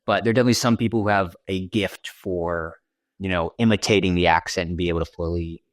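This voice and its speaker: A man with a low-set voice and a neutral American accent talks easily as an interview guest, leaning on "you know" and "right" as he goes. The recording is close and dry, with hardly any room sound. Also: fast, no echo. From Washington D.C., USA. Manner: relaxed, chatty, many fillers like "you know" and "right". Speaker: podcast guest, content creator. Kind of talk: casual interview conversation.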